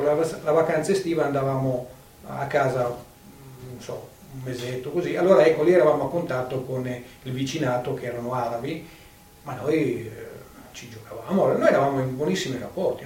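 A man's voice: mid-range voice, darkish tone. An older man giving testimony, hesitantly recalling his past. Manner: halting, hesitant. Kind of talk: spontaneous recollection. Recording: echoey room, distant microphone.

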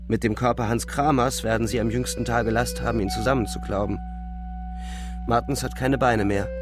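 A man's voice: mid-range voice, creaky. A man playing a weary, disillusioned young soldier who narrates a radio drama in grave, terse phrases. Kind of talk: literary first-person narration in German radio drama. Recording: loud music behind the voice.

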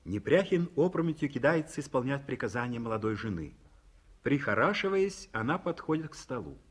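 A man narrating the stage directions of a 1950s radio play in a measured way. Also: mid-range voice, very animated, slightly rough.

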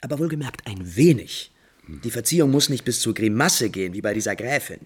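A man playing an impulsive, refined, lovesick prince in 1950s German radio drama, theatrically shifting between musing and brisk command. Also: medium pitch, expressive, husky. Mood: animated, critical. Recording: 1950s radio recording with music behind.

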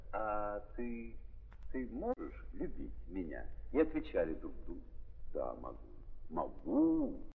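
Wildly expressive, raspy narrator. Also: male voice, on the low side, full character voice. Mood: knowing, suggestive. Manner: theatrical, emphatic, with dramatic repetitions. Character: ironic, impassioned storyteller of a literary drama. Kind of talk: 1930s Russian dramatic stage narration. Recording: muffled 1930s recording, hall reverb.

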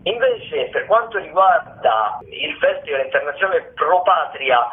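A man presenting theatre listings as a radio host, in a halting delivery with frequent self-corrections. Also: fairly high pitch, expressive. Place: radio broadcast. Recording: muffled narrowband telephone-like radio audio.

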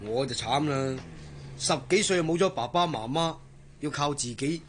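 Actor as earnest young Hong Kong sailor, higher-pitched. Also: male voice, moderate pace, slight background noise, lightly acted. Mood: candid, reflective. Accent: Hong Kong Cantonese. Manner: earnest, colloquial, solicitous. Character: earnest, devoted young sailor, kindly and plain-spoken. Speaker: actor in radio drama. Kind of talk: Cantonese radio drama dialogue.

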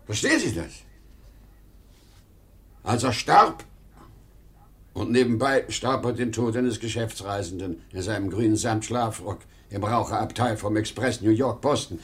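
An older man acting a proud, nostalgic, pleading travelling salesman in a 1950s German radio play, emotional and halting. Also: lowish voice, natural voice. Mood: nostalgic, fervent. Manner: emotional, halting when pleading, repeating himself.

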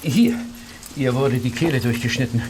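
An actor in a German radio play as an agitated, dutiful Victorian police constable, urgent and official. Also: male voice, medium pitch, normal speed, lightly acted. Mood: surprised, dutiful. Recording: distant voice, noisy outdoor street sound effects.

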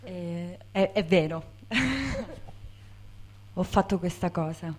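A woman's voice: fairly low pitch, animated, slow pace. A woman talking informally to an audience, reflective and hesitant, repeating words as she searches for them. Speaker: bookseller, co-founder of a women's bookshop.

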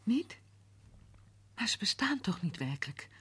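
A woman's voice: mid-range voice, big pitch swings, measured pace, fairly bright tone, husky, full character voice. A woman playing an eager, anxious young woman in a radio play, breathless and pleading. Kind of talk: radio drama acting.

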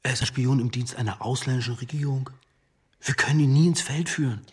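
A man's voice: mid-range voice, brisk, breathy edge. An actor playing a male senior police chief in a radio drama, coolly authoritative, questioning in a dry, clipped, reproving way. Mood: sceptical, reproving. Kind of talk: German radio drama dialogue.

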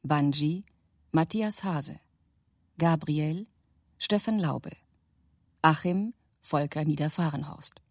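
A woman's voice: low voice, slow, long pauses, dry recording, clean studio sound. A woman announcer reading out the credits of a radio drama in a scripted style.

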